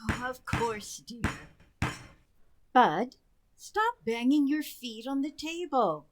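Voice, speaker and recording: Woman playing a wry, unhurried sitcom housewife. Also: a little high, expressive, no echo. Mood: patient. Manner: dry, gently sarcastic. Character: wry, patient housewife and mother in her thirties. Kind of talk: old-time radio sitcom acting.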